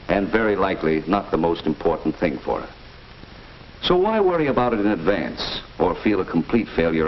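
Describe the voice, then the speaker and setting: An American man playing a kindly, patient family doctor in a 1940s instructional film, lecturing in a measured, deliberate way. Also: sits low. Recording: muffled, hissy 1940s film soundtrack.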